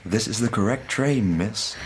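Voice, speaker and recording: A man playing an earnest young gentleman working as a shop clerk in a radio mystery, polite and boyish, with a husky voice. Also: on the low side, a little slow, a little hiss or hum, natural voice. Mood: coaxing. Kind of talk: period radio mystery drama acting.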